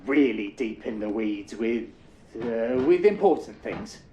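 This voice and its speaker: An actor playing a shy, flustered bookseller, hesitant and evasive, in a scripted game. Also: male voice, medium pitch, expressive, a little slow, mellow, echoey room, lightly acted.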